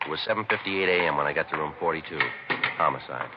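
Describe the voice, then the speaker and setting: A terse American homicide detective in an old radio crime drama. His voice is low and his delivery quick, clipped and deadpan. The recording is muffled and clearly noisy. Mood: matter-of-fact. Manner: clipped, deadpan, matter-of-fact. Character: male homicide police detective, terse, even-tempered, dry. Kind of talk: 1950s radio acting, scripted narration and dialogue.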